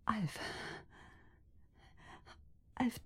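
An actress playing an anxious, shaken wife and mother in a radio drama, breathy and husky, halting and repeating her words with intensity. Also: pushed and bright. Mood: horrified, agitated.

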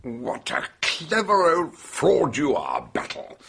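An actor playing a wry, observant British gentleman investigator in a radio drama. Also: a little high, huge pitch swings, takes it slowly, creaky, noisy background, full character voice. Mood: wry, amused.

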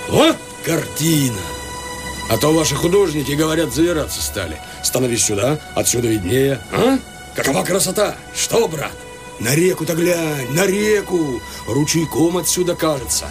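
An actor playing an easygoing, expansive country landowner in a radio play, with folksy, exclamatory speech. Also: male voice, on the high side, animated, normal speed, over music, natural voice. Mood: enraptured. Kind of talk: Russian radio play acting.

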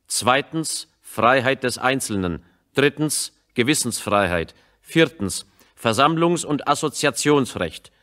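A male narrator with a medium-pitched voice reads scripted radio drama. His delivery is measured and sober, with deliberate phrasing. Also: fairly bright tone.

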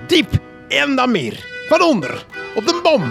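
An actor playing an eccentric, rambling, boastful old sea captain with a gravelly voice in a comedy radio drama. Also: male voice, very high voice, wildly swooping pitch, measured pace, over music, exaggerated voice. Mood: rambling, mysterious. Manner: rambling, repetitive, boastful tall-tale telling.

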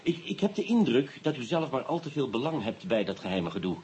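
An actor playing a probing, wry Dutch police detective in a radio play, questioning in a pressing, clipped way with muttered asides. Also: male voice, mid-range voice, very animated, fairly quick, darkish tone, natural voice. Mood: insistent, wry. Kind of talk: radio drama acting. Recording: noisy radio play recording.

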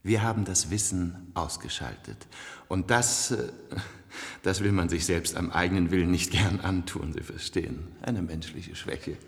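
A man acting a complacent, cultured ruler of a future elite in a 1970s radio play, suave, measured and condescending, in an echoey hall. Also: sits low, vocal fry. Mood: smug musing.

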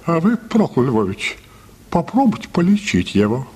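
An actor playing a plump, practical theatre cashier in a radio play, advising in a matter-of-fact, husky voice. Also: male voice, a little high, sing-song, moderate pace, a little mellow. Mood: helpful, matter-of-fact. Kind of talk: Russian radio play acting of a classic story.

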